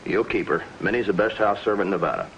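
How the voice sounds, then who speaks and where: Actor as a low-voiced, gallant young congressman. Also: fast, slight background noise, close to an everyday voice. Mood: matter-of-fact. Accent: American. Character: courteous, confident young western congressman, gallant teaser. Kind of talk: 1950s television western acting. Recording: dull vintage TV soundtrack with music.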